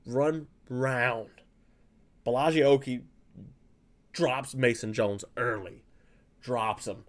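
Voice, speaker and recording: A man's voice: medium pitch, fairly even intonation, slow. A man from South Louisiana hosting a casual fight breakdown, chatty and rambling, with lots of fillers and self-corrections, speaking close to a desk mic. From South Louisiana, USA. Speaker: fight analyst host.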